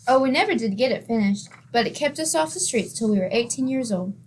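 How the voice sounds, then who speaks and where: A woman's voice: fairly high pitch, animated, fast, darkish tone, slight background noise, natural voice. Give me a woman playing a guileless, scatterbrained girl in an old radio comedy. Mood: deadpan. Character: scatterbrained, sweet young woman housemaid. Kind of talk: 1940s radio comedy acting.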